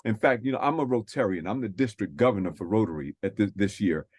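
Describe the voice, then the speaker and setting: A man's voice: lowish voice, fast, a little mellow, slightly rough, no echo. A man from New City, hosting a radio faith talk, earnest and preacherly, repeating his phrases for emphasis. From New York City. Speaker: radio show host.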